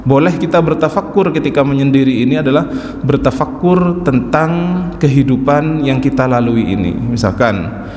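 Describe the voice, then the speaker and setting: A man in his forties delivers a patient religious lesson, his pitch sitting in an ordinary middle range. The sound rings with long reverb through a big hall, and a faint room hum stays underneath. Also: normal speed. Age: 40s. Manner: calm explaining, frequent affirming "ya". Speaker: religious teacher. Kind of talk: mosque study-circle lecture. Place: large mosque hall. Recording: echoey mosque hall with faint ambience.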